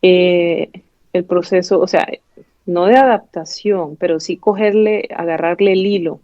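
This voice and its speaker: A woman's voice: on the low side, a little slow, dark tone, dry recording. A Venezuelan woman in her thirties, a resident doctor, speaking candidly in an interview with many fillers and restarts. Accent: Venezuelan Spanish. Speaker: pathology resident doctor, guest. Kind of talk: casual interview chat. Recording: dull lo-fi sound.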